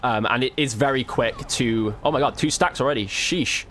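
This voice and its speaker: A man's voice: mid-range voice, moderate pace, fairly bright tone, a little hiss or hum. A man giving casual, slangy gameplay commentary as a video creator, thinking aloud as he goes.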